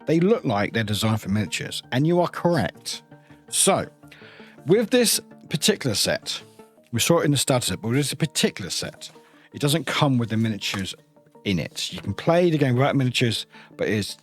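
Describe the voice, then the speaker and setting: A man giving a casual, enthusiastic, rambling unboxing commentary as a review host. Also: mid-range voice.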